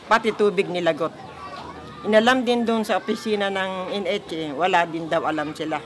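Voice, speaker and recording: Filipino community interviewee, mid-pitched voice, explanatory delivery, faint field noise. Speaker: resident activist interviewee. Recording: noisy outdoor field recording.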